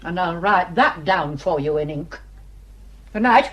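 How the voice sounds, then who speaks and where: A woman's voice: fairly low pitch, animated, measured pace, fairly bright tone, a little hiss or hum. A grasping old Northern English woman of property in a radio drama. She scolds and sneers with haughty bluntness, as if everyone were out to cheat her. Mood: domineering, threatening. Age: older. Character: grasping, spiteful old female mill owner and landlady.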